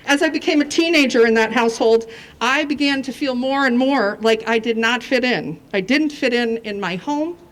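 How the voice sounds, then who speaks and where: A woman in her fifties from New Hampshire, telling her personal story in an earnest speech at a rally. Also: on the high side. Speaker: rally speaker.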